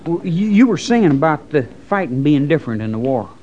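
An actor playing a loyal, plain-spoken young American cavalry trooper with folksy rural speech, in an old radio western with dull sound. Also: male voice, fairly high pitch, sing-song, brisk, a little mellow. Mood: concerned.

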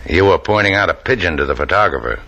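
An actor playing a tough, wisecracking adventurer with a clipped, deadpan delivery in 1940s-50s radio drama style. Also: male voice, low voice, fast talker, highs cut off, heavily acted. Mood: sardonic. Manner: hard-boiled, clipped, deadpan. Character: tough, wisecracking adventurer hero, coolly sardonic.

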